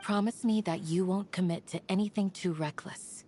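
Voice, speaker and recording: An actress playing a courteous, cautious guardian woman in a video game, speaking in a polite, measured, earnest way. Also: medium pitch, warm tone, natural voice. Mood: calm, earnest. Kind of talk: English game voice acting. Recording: game audio with music behind.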